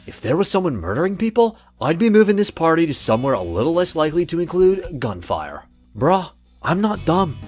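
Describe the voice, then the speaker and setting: An actor playing a brash young frat bro, cocky, slangy and dismissive. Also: male voice, fairly high pitch, sing-song, a little fast, darkish tone, faint music behind. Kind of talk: voiced game dialogue.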